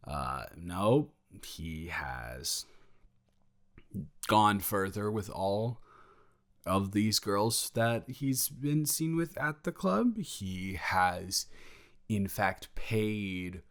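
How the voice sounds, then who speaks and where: Man playing a sheepish best friend guy, deep-ish voice, slow rambly confession, awkward and protective, audio roleplay. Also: fairly bright tone, exaggerated voice. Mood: reluctant, disapproving. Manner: halting, rambling, awkwardly candid. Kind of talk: improvised audio roleplay, intimate conversational monologue.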